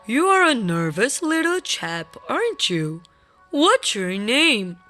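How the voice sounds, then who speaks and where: A woman voicing a kindly male tramp in a storybook, speaking in a gentle, soothing, reassuring way. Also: on the high side, huge pitch swings, takes it slowly, faint music behind, exaggerated voice. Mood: gentle concern.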